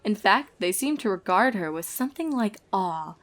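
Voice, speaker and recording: Woman, scripted story narration, comic tale, expressive varied pitch, medium register. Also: normal speed.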